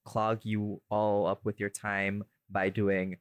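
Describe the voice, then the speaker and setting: A man's voice: fairly low pitch, completely flat delivery, slow pace, dry recording, studio quality. A man hosting a casual podcast, bantering and rambling as he corrects himself and slips into playful mock-formal introductions. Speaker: podcast host.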